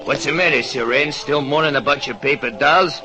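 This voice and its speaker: Actor as a shifty American carnival tough. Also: male voice, mid-range voice, normal speed, pushed and bright, faint music behind, close to an everyday voice, rough recording. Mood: sly. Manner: slangy, evasive, gruff. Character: shifty, surly bingo number caller. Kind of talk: old radio drama acting.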